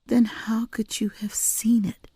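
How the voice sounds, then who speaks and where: A woman's voice: fairly high pitch, flat delivery, unhurried, warm tone, breathy edge, no echo, in a whisper, voice pushed up. A woman voicing a tough, protective female cat burglar with a curt, stern manner, in an audiobook story. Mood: persistent questioning.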